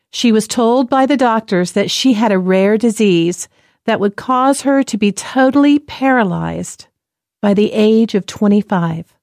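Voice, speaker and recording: A woman narrating a story in a gentle, clear, measured reading style. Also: fairly high pitch, no echo, studio quality. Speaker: presenter.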